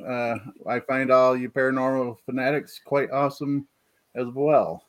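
A man hosting a casual livestream podcast from home, talking haltingly with lots of fillers and reading out viewer comments. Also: mid-range voice, fairly even intonation. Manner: halting, many fillers, reads chat comments. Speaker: podcast host. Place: home, rural.

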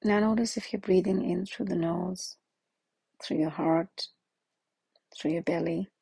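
A woman leading a guided meditation in a slow, soothing manner with long pauses. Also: on the low side, fairly even intonation, vocal fry, mellow, breathy edge, dry recording.

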